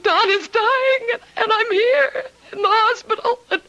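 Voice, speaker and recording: High-pitched anxious wife. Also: female voice, pushed and bright, highs cut off, full character voice. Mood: distraught, pleading. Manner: emotional, halting, drawn-out delivery. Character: anxious devoted wife, fraught and pleading. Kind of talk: old-time radio drama acting.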